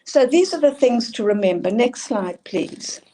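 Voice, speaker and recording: A woman's voice: a little high, lively intonation, measured pace, warm tone, slight background noise, low-quality recording. An older woman from South Africa with a South African accent, earnestly presenting a talk on school leadership. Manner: earnest, emphatic, asks for next slides.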